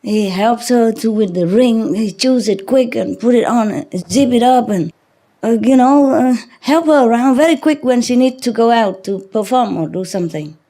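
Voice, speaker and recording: A woman's voice: on the high side, moderate pace, warm tone. A woman spiritual teacher talking casually to a group, rambling through anecdotes in a chatty, teasing, often chuckling manner. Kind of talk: casual anecdotes and banter with an audience.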